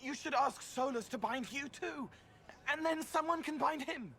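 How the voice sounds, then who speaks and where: High-pitched haunted young spirit, halting broken phrases, faint ambience. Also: male voice, creaky, sharp tone, a little hiss or hum, heavily acted. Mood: anxious. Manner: fragmented, breathless phrasing.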